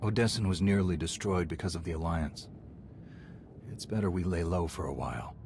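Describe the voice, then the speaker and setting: A man's voice: sits low, darkish tone, a little hiss or hum. A man playing a resolute, merciful Jedi commander in a video game, speaking firmly and solemnly. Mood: calm resolve. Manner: firm, solemn, measured. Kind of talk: dramatic video game acting. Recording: music behind the dialogue. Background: music.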